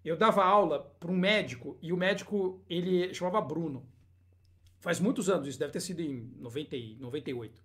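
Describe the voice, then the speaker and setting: Um homem apresentando um podcast de entrevista, com interjeições animadas e rápidas. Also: male voice, on the high side, fairly bright tone. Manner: quick, enthusiastic interjections. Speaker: podcast host. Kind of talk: casual interview chat.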